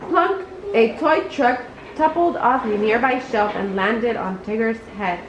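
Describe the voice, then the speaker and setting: A woman's voice: a little high, unhurried, muffled recording. A woman reading a children's bedtime story aloud expressively, now and then stumbling over a word. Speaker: storybook reader. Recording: echoey room with clear background noise.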